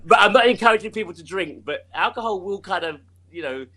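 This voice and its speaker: A man's voice: high-pitched, lively intonation, fast, pushed and bright. A man talking casually as an interview guest, rambling and repeating himself, laughing often. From United Kingdom.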